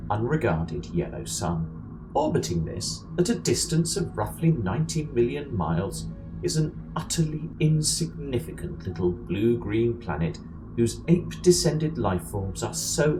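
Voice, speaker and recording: A man playing the wry, measured narrator of an amateur science fiction audio drama. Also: mid-range voice, low-quality recording. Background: music.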